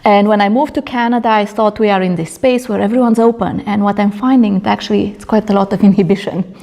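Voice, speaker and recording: A woman's voice: medium pitch, steady tone, fast, creaky, warm tone. A middle-aged woman from Romania presenting her doctoral research at a conference, speaking earnestly with frequent fillers and restarts. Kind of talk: academic conference talk. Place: conference room.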